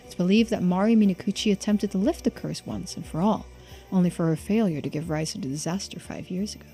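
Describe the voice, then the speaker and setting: A woman's voice: fairly low pitch, fast, warm tone. A woman streaming a game playthrough, reading on-screen text aloud and adding casual remarks. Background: game music, faint to clear.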